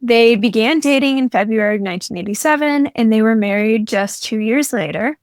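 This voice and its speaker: A woman's voice: fairly high pitch, a little fast, no echo, clean studio sound. A teenage girl hosting a true crime podcast, telling a case from her notes. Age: teens. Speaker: podcast host.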